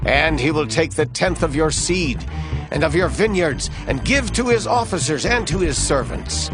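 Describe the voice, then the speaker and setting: A man's voice: a little high, expressive, moderate pace, over music, lightly acted. An actor playing a solemn Hebrew prophet warning his people, grave and declamatory, in a dramatized scripture reading. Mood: stern warning.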